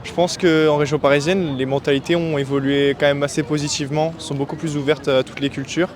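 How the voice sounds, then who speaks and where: A man from France answering a street interview, measured and hesitant with many fillers. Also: fairly high pitch, flat delivery, slight background noise. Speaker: passer-by. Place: city street outdoors.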